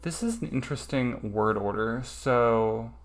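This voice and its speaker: A man's voice: medium pitch, unhurried. A man streaming a casual study session, thinking aloud hesitantly with lots of ums.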